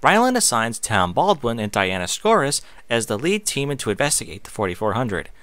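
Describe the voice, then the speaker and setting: Animated man with a bright voice. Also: medium pitch, normal speed, dry recording. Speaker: online reader and streamer. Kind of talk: reading aloud with casual off-script asides.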